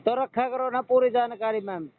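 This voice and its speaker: A man's voice: very high-pitched, fairly even intonation, normal speed. A man with a Haryanvi accent speaking as a caller on a phone line, in a rustic, blunt and persistent manner. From Haryana, India. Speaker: customer calling a helpline. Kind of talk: joking phone call. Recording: noisy, tinny phone call recording.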